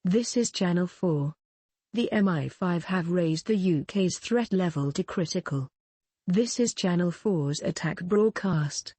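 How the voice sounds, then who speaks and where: A woman's voice: fairly low pitch, measured pace, dark tone, husky, no echo. A woman playing a sober television announcer, reading a scripted emergency broadcast in a formal manner.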